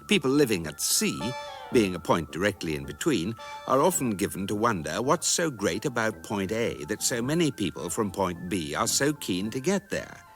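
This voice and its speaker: All-knowing British storyteller, low and expressive, measured deadpan comic narration. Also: male voice, soft background music. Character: urbane, dry, all-knowing guidebook narrator.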